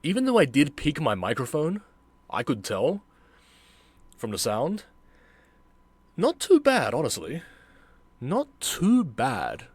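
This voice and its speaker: A man hosting a casual reaction video as an amateur voice actor, talking in deliberate, halting phrases. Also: medium pitch, huge pitch swings, breathy edge, no room sound.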